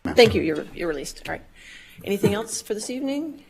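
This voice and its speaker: A woman judge speaking off the cuff in court, brisk and informal. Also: medium pitch, very animated, creaky, breathy edge. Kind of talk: spontaneous courtroom speech.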